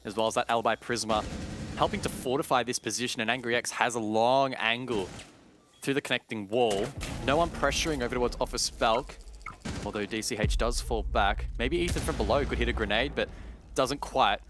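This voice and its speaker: A man giving tactical color commentary on a competitive shooter match, steady and detailed. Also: medium pitch, brisk, over music. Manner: steady, detailed tactical explanation with occasional self-corrections. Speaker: esports analyst caster. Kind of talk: analytical esports color commentary.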